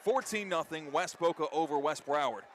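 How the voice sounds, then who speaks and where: A man doing live radio play-by-play of a football game, an excitable commentator who lifts his voice for big plays. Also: fairly high pitch, fairly quick. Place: outdoor high school football stadium. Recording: faint outdoor stadium ambience noise. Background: faint outdoor ambience.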